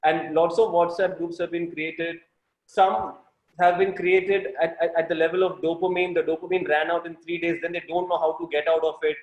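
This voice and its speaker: A man hosting an online workshop over a video call. He talks haltingly, with many restarts and light jokes. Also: fairly high pitch, little pitch movement, echoey room, poor audio. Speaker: workshop host.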